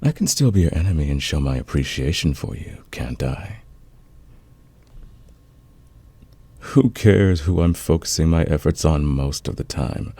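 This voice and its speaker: Man acting a very deep, flirty fantasy villain. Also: dark tone, husky, heavily acted. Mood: teasing, flirtatious. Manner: teasing, intimate, drawn-out confiding delivery. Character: flirtatious, smug male fantasy villain, secretly smitten rival. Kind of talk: romantic ASMR roleplay acting.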